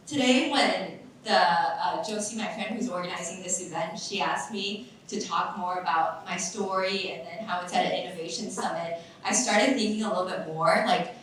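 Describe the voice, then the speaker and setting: A woman's voice: medium pitch, a bit bright, slight background noise. A woman in her thirties from Taiwan giving a chatty conference talk, full of fillers and restarts. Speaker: summit speaker, nonprofit founder. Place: large echoey conference hall. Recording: echoey hall, voice from far off.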